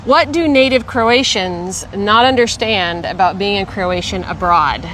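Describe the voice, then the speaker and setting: A woman's voice: mid-range voice, a little slow, a bit bright, slight background noise, rough recording. A woman co-hosting a casual podcast chat, talking enthusiastically and a bit breathlessly. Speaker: podcast co-host.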